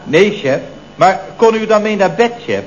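Very high-voiced earnest underling. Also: male voice, expressive, normal speed. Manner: clipped, repeatedly addressing the boss. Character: deferential, earnestly dim male employee. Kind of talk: 1970s Dutch radio comedy acting. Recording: dull old radio recording.

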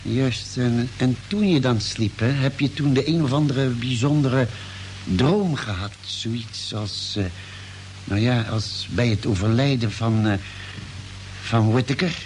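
An actor playing a probing, concerned ship's doctor in a space radio play, with inquisitive, hesitant phrasing and musing pauses. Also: male voice, mid-range voice, a little mellow, close to an everyday voice. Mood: curious, probing. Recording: noisy, humming old radio drama recording.

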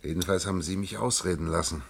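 An actor in a German radio play, playing a weary, sardonic inventor with a laconic, husky delivery. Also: male voice, low voice, steady tone, fast talker, slight background noise. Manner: laconic, ironic, understated. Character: weary, sardonic male inventor, resigned and wry.

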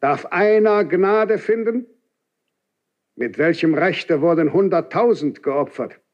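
An actor playing an aged, unyielding Grand Inquisitor in a classical German radio drama, speaking in a severe, measured, declamatory and reproachful way. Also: male voice, high voice. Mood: accusing. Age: older. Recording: dull 1970s radio drama recording.

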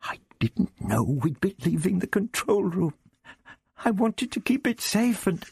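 A man's voice: high-pitched, big pitch swings, a little slow, creaky, a little mellow, breathy edge, no echo, studio quality, pitched above the performer's own voice, heavily acted. A man voicing a frightened, apologetic young girl in a storybook reading, stammering and pleading. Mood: anxious, stammering.

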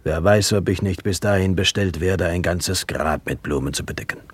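An actor playing a stern, weary flower seller in a radio play, speaking in a grave, deliberate, resigned manner. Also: male voice, sits low, slightly rough, no echo. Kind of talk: German radio play acting.

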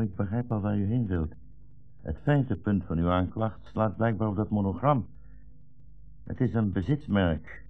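An actor playing a weary, resigned old blind rabbi in a 1960s radio drama, measured and formally polite, with a meek irony. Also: male voice, lowish voice. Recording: dull 1960s radio recording, music behind.

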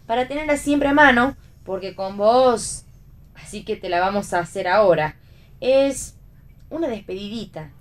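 An actress playing a wry, bossy stage performer who gives dry retorts and directs others in a radio play reading. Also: female voice, mid-range voice, lively intonation, moderate pace, lightly acted. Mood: wry, explaining. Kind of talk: radio read theatre dialogue.

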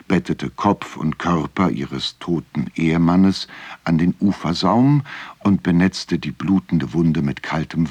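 An actor playing a grave storyteller, narrating a dark folk legend with measured delivery in a radio drama. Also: male voice, very deep voice, breathy edge, no room sound, full character voice.

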